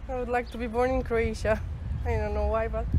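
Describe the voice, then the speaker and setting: A woman's voice: fairly high pitch, little pitch movement, brisk, a little mellow, slight background noise. A young woman, in English, giving chatty, giggly answers in a street interview. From North Macedonia.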